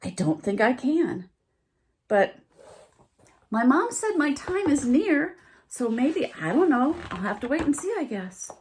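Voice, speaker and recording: A woman voicing a gentle, shy young fawn in a storybook reading, slow and hesitant. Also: sits high, big pitch swings, slight background noise, pitched above the performer's own voice. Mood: wistful.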